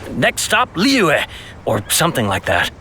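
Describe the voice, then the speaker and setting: Nervous, stammering, high-pitched young guy. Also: wildly swooping pitch, unhurried, sharp tone, a little hiss or hum, heavily acted. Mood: explaining nervously. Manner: stammering, defensive, pleading. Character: nervous, flustered young male card player, easily intimidated. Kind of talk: video game voice acting.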